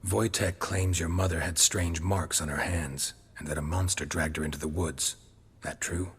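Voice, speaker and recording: An actor with an American accent playing a stoic, gruff monster hunter, terse and deadpan, with a raspy voice, in a video game. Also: male voice, deep voice, normal speed, long reverb tail, exaggerated voice. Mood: low, sympathetic. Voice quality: very gravelly, husky rasp.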